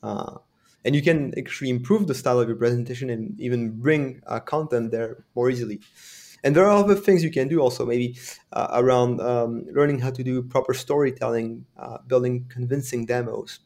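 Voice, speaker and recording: Man explaining calmly. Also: mid-range voice. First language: French. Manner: measured, explanatory, reflective. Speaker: developer advocate guest. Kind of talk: conversational interview answers.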